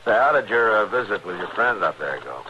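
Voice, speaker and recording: An actor playing a stoic, gruff US marshal in an old radio western, with a dry manner and an American accent. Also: male voice, lowish voice, very animated, a little fast, pushed and bright. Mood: easygoing. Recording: muffled, noisy old radio recording.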